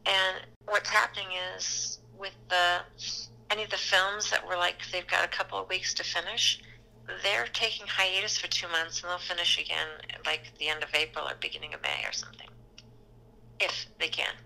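Middle-aged woman, casting agent, very bright tone with gravelly fry, rambling chat. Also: on the low side, steady tone, moderate pace, low-quality recording. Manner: rambling, loose, conversational. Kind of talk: casual conversation.

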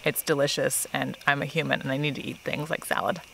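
A woman's voice: a bass voice, little pitch movement, quick pace, creaky, fairly bright tone, a little hiss or hum. A woman in her thirties vlogging outdoors to a camera held at arm's length, chatty and self-deprecating, laughing easily. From not from California. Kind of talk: casual vlog talk to camera. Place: outdoors by a tree in a park.

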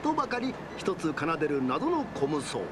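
A man's voice: on the high side, big pitch swings, moderate pace, background noise. A man narrating a travel documentary in a playful, theatrical storyteller style with mock-archaic phrasing. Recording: music loud behind the voice. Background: loud music.

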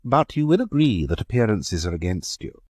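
A man reading a story aloud, voicing a sardonic young gentleman, polite but contemptuous. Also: fairly low pitch, sing-song, normal speed, slightly rough, no echo, clean studio sound, voice pushed up.

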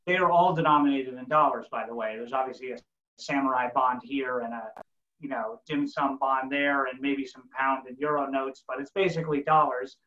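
A middle-aged man moderating a panel over a video call, putting wordy, halting questions in a conversational way. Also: medium pitch, fairly even intonation, sharp tone. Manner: wordy, halting, frequent "you know". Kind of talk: conversational, long-winded questioning. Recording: roomy, dull video call audio.

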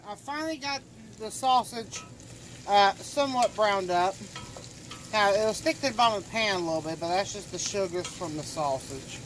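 A man's voice: sits high, normal speed, low-quality recording. A man casually chatting while he cooks in a small RV kitchen, rambling folksy talk with a phone camera held over the stove. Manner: relaxed, rambling, folksy, with fillers. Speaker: home cook vlogger. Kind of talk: casual cooking vlog. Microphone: handheld phone camera over the stove. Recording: kitchen noise with sizzling food.

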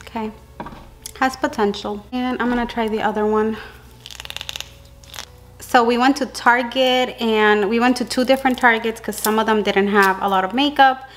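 A woman's voice: fairly high pitch, slight background noise. A young woman, a dental hygienist, chatting casually to her viewers in a vlog from her car and living room, talking close to the camera. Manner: chatty, addresses viewers as baby girl. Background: soft background music.

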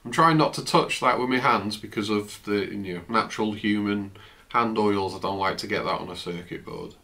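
A man in his fifties, croaky from a flu, chatting casually through an unboxing in his home office, recorded on a camera's built-in mic. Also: fairly low pitch, normal speed. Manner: relaxed, chatty, trails off. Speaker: tech vlogger. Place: home office, indoors, seated at a desk.

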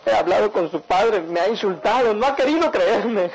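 Man acting high-voiced desperate young son, radio drama. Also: lively intonation, normal speed, gravelly, rough recording. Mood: anguished. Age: young adult. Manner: pleading, anguished. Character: desperate, ashamed young son confessing to his mother. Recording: radio drama over background music.

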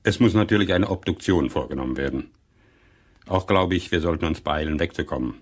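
Wanted: radio-play medical examiner, deep register, professional, clinical, factual delivery. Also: male voice, a little fast. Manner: factual, formal. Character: professional, clinical male police doctor. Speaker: actor in an audio drama. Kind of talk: German crime radio play acting.

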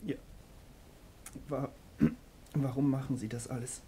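An actor playing a troubled, ashamed teenage son in a German radio crime drama, speaking earnestly and hesitantly, as if confessing. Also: male voice, medium pitch, fairly even intonation, measured pace, dark tone, slight background noise, natural voice. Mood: wary, subdued.

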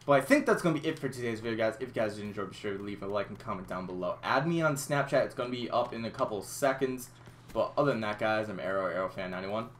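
A man's voice: on the low side, animated, fast talker, slight background noise. A young man from Massachusetts with a headset mic in a small room, telling a rambling, swear-filled story over game footage. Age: young adult. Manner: rambling, frequent swearing and restarts. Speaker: gaming YouTuber. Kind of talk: casual storytelling over gameplay.

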